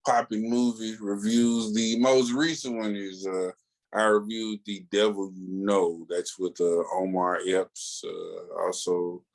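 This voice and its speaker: A middle-aged man with a deep voice co-hosts a podcast. He chats casually and loosely, in a halting, slangy, laid-back manner. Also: level tone, vocal fry. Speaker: podcast co-host and reviewer. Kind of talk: casual chat.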